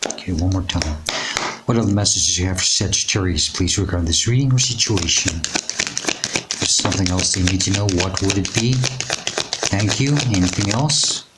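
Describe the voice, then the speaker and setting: A man with a raspy, hoarse voice giving a tarot reading, speaking in a reassuring, unhurried way with many pauses and fillers. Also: sits low, very noisy. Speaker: tarot reader. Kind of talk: intuitive tarot reading to camera.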